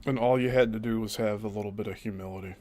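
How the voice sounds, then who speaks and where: A man's voice: fairly low pitch, steady tone, quick pace, darkish tone. A man hosting a reaction commentary over clips, scornful and profane, mocking the person in them.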